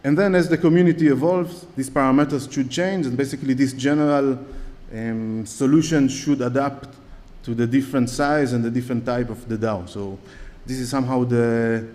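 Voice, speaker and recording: A man's voice: mid-range voice, fairly even intonation, normal speed, darkish tone. A man with an Israeli accent giving a conference talk from a lectern, hesitant with many fillers and restarts. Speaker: conference speaker. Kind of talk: conference talk with audience questions. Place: large conference hall on stage. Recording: long hall reverb.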